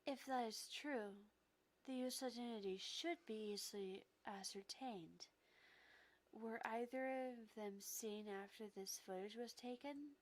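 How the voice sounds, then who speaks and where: A woman's voice: on the high side, a little slow, lightly acted, low-quality recording. A woman voicing a stern, accusatory investigator in a visual novel, formal and insistent. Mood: brisk, analytical.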